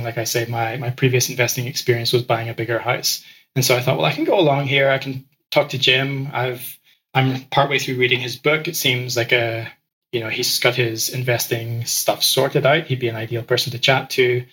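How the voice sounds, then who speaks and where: A man who grew up in Ecuador, a podcast guest telling his story in a relaxed conversational way, often repeating words as he restarts sentences. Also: medium pitch, normal speed, rough recording. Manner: frequent word repeats and restarts.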